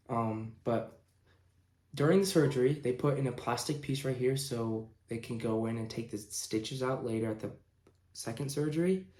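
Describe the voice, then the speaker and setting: A man in his late teens casually telling a personal story in a halting, informal way, pausing mid-sentence. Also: mid-range voice, darkish tone. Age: young adult, late teens. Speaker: vlogger telling own story. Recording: roomy, echoing indoor sound.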